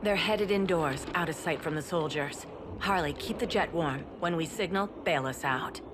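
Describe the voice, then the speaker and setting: An actress playing a determined, no-nonsense superheroine in a video game cutscene. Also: female voice, low-pitched, normal speed, heavy background noise, close to an everyday voice. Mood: tactical, brisk. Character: determined superheroine mother returning to the fight.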